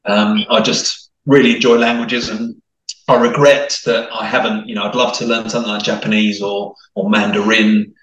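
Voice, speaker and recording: A man's voice: on the low side, flat delivery, normal speed, fairly bright tone, far from the mic. Chatty man, echoey and off-mic. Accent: mixed, hard to place. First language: Italian. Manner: chatty, many restarts and repeated words. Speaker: guest. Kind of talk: casual interview chat.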